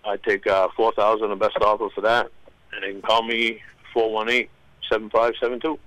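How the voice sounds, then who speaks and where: A man phones into a local radio show, his voice bright and a little tense. He speaks plainly with many pauses. The sound is dry, with no room around it. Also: on the low side, flat delivery, no echo. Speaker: caller. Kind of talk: phone-in listing items for sale. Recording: phone line.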